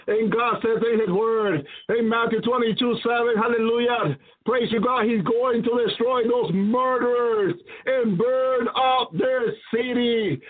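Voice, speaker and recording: Male preacher, very high pitch, fervent repetitive exhortation, tinny phone-like radio audio. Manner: emphatic, repetitive, exclaiming preacher's delivery. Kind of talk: fervent apocalyptic sermon. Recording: thin phone-like radio sound.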